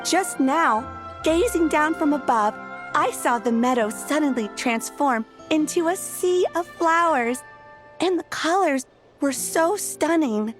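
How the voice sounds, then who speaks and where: A woman's voice: sits high, lively intonation, slow pace. A woman playing an eager, effusive young event staffer in a video game, gushing and earnest, with music behind. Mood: gushing, grateful.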